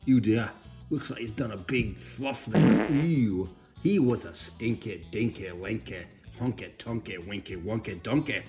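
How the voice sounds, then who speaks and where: A man reading a children's storybook aloud in a playful, expressive way with cheeky asides. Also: fairly low pitch, a little slow, soft background music. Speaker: storybook reader. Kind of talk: animated read-aloud for children.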